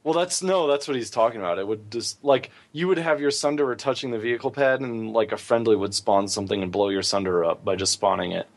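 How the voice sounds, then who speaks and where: A man's voice: on the low side, fast, hardly any pauses. A man co-hosting a gaming podcast, talking casually and giving his opinions freely. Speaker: podcast co-host.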